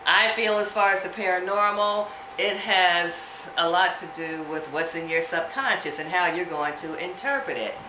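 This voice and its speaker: Older host type, sixties, low voice, talkative, recorded in a noisy, reverberant room. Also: female voice, moderate pace, few pauses. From New York. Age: 60s. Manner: laughs often, chatty, leads the questions. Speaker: host of a paranormal submission video. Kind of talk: casual chat. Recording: echoey room with loud background noise.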